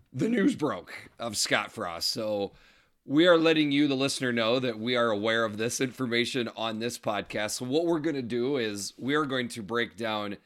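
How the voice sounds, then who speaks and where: A man co-hosting a casual sports podcast, his voice raspy and hoarse from screaming at a game, running through scores and stats between chat. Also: medium pitch. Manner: reads scores and stats in a steady run, then chats. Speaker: podcast co-host.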